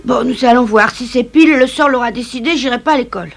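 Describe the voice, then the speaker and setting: Cartoon schoolboy: high-pitched, grumbling, theatrical little schemer. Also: female voice, quick pace, vocal fry. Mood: grumbling, scheming. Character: mischievous young schoolboy, reluctant and scheming. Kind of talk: French dubbed cartoon acting.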